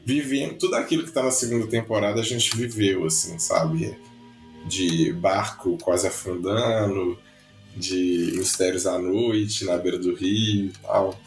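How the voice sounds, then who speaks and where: Low-voiced man recalling, echoey room. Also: a little slow, faint music behind. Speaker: musician and educator, guest. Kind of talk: casual interview talk. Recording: roomy echo.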